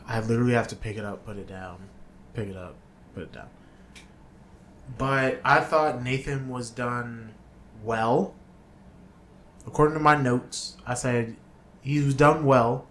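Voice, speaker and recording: A man co-hosting a casual chat show, talking in a slow, laid-back way and often trailing off to restart his sentences. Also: mid-range voice, fairly bright tone, slight background noise. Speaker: podcast co-host. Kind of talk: casual chat reviewing a movie.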